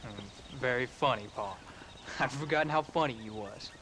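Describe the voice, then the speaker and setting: A man's voice: mid-range voice, normal speed, bright, forceful tone, a little hiss or hum, close to an everyday voice, rough recording. An actor playing a reserved, bookish American farm boy in his teens in a western video game. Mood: sulky, guarded. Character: sullen, bookish teenage frontier farm boy. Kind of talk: cinematic video game acting.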